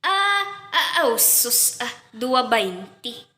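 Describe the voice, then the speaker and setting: Woman voicing a half-deaf older auntie, mishears everything, slow drawl, high voice, echoey room. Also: sing-song, loud music behind the voice, full character voice. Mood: confused haggling. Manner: mishears and repeats questions.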